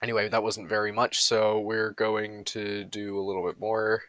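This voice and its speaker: A man's voice: lowish voice, monotone, moderate pace, a bit bright, no room sound. A man reading humorous bedtime stories aloud with a mock-serious storytelling delivery.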